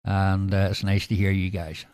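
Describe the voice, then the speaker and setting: A Northern Irish man chatting over the radio as an operator, measured and full of fillers, closing each turn with "go ahead". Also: low voice, level tone, a little mellow, a little hiss or hum. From Northern Ireland. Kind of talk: casual radio chat.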